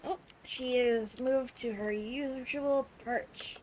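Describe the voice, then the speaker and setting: A woman's voice: on the high side, steady tone, slow, a little mellow, a little hiss or hum, poor audio. A woman streamer giving casual, emotive reactions and exclamations as she plays through a video game. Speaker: gaming streamer.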